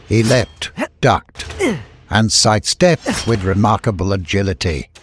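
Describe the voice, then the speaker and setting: A man giving a dramatic scripted narration of an adventure story as an audiobook narrator. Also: fairly low pitch.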